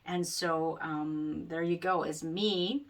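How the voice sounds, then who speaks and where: A woman astrologer giving a casual forecast, rambling with many fillers, in a loud voice picked up by the camera mic. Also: deep voice, fairly even intonation, slow. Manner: rambling, many ums and you-knows. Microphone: camera mic, microphone not plugged in. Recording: distant sound from unplugged mic.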